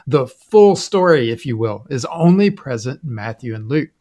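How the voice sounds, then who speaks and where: A man's voice: mid-range voice, expressive, normal speed, warm tone. A man co-hosting a podcast, explaining Bible passages in a conversational, explanatory way and sometimes chuckling. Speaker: podcast co-host.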